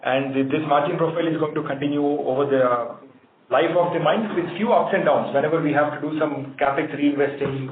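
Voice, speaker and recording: A man's voice: fairly high pitch, fairly quick. A man with an Indian accent answering analysts' questions as a company executive on a conference call. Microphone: conference phone line. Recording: tinny phone line, echoey room, noisy.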